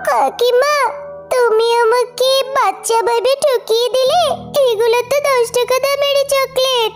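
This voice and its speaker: A cartoon little girl, with an extremely high voice. She is childlike and wheedling, always sounding set on a treat, over loud background music. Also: fairly even intonation, unhurried. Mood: aggrieved. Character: pleading little girl who craves chocolate. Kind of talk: children's cartoon story dialogue.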